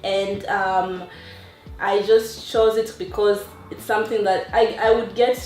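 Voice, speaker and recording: Woman from Kenya as a soft-spoken guest, mid pitch, a little far from the mic in an echoey room. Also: fairly even intonation, measured pace, soft background music. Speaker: interview guest, nurse. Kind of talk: casual interview.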